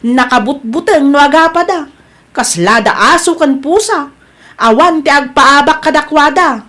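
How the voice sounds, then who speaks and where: A woman's voice: high-pitched, unhurried, sharp tone. A woman playing a gossipy, complaining shopkeeper in an Ilocano family comedy drama. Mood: worried, complaining.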